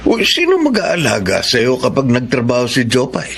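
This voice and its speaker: A man's voice: mid-range voice, wildly swooping pitch, normal speed, gravelly, a bit bright, slight background noise, exaggerated voice. An actor playing a caring, hard-up middle-aged father who makes charcoal, plain and reassuring, in a Filipino radio drama. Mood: concerned, practical.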